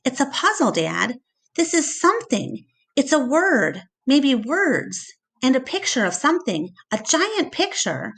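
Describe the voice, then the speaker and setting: A woman voicing an earnest, excitable young girl in a storybook read-aloud, speaking with wide-eyed urgency. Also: sits high, measured pace, pitched above the performer's own voice, heavily acted. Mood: excited.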